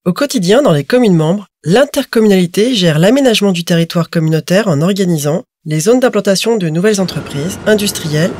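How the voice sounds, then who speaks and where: Lady reading a civic explainer voice-over, calm and clear, mid pitch. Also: no echo. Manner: clear, measured, informative. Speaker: narrator. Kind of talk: scripted explainer narration.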